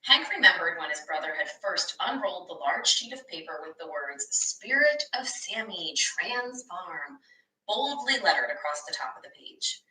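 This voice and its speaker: Woman, storybook read-aloud, very varied pitch, bright tone, teacherly asides, roomy distant recording. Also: a little fast, few pauses. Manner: animated, addresses young listeners with asides. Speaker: teacher reading a children's book aloud. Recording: echoey room, distant microphone.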